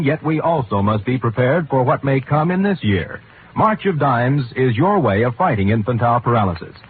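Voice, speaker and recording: A man's voice: mid-range voice, a little hiss or hum. A man announcing formally and declamatorily in the scripted style of 1950s network radio. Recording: tinny old radio broadcast, faint music.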